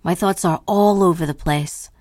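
A woman's voice: lowish voice, moderate pace, darkish tone, no room sound, studio quality, natural voice. A woman performing a restless, anxious artist's first-person monologue in a brooding, emphatic, theatrical way. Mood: weary, anxious. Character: restless, anxious, self-questioning woman artist, neither old nor young.

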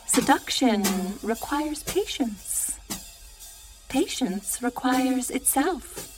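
A woman performing a seductive, brazen figure in a dramatic spoken-word monologue, slow, sultry and deliberate. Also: sits high, expressive, heavily acted, poor audio. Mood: languid, sly. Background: music.

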